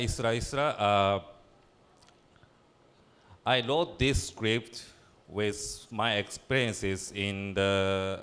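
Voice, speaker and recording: A young man from Peru with as his talks through his plays in a slow, unhurried way, and his voice sounds smooth and clear. He pauses often, fills gaps with "uh" and restarts his sentences as he presents at a symposium. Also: on the low side, a bit bright. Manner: halting, many fillers and restarts. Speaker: playwright and theater director.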